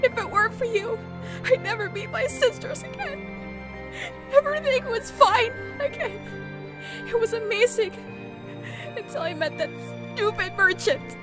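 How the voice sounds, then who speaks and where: A woman's voice: extremely high pitch, lively intonation, unhurried. A woman voicing a young remorseful heroine, emotional and pleading. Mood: tearful. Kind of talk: fan audio drama acting. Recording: music underneath.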